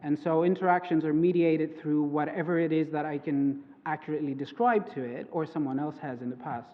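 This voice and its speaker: Young man, native Greek speaker, conference talk, halting with fillers, fairly high voice, tinny phone-like sound, long hall reverb. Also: fairly even intonation. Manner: hesitant, many fillers and restarts. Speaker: conference speaker, PhD student. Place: lecture hall.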